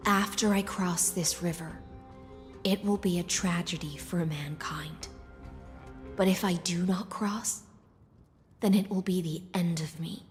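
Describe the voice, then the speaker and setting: A woman voicing a resolute, loyal female military commander in a video game, grave, deliberate and theatrical. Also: fairly low pitch, natural voice. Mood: grave resolve. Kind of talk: dramatic scripted game acting. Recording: long reverb, background music.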